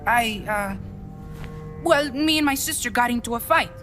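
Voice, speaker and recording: Lady doing a young boy soldier, latin american accent, high voice, really emotional, game cutscene w/ music behind. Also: normal speed, fairly bright tone, natural voice. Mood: earnest, uneasy. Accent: Latin American Spanish. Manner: emotional, youthful, impassioned delivery. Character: earnest, defiant twelve-year-old boy guerrilla. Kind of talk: dramatic video game cutscene acting.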